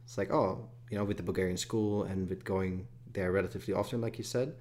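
A man hosting a relaxed podcast interview, talking quickly in a lowish voice. He is chatty and asks long, winding questions. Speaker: podcast host.

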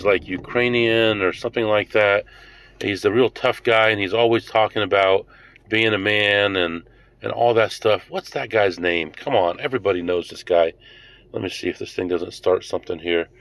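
A man rambling casually to his viewers as he drives between stops, thinking out loud. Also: on the low side, gravelly. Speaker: host. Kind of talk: casual driving vlog. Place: inside a moving car. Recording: loud car road noise.

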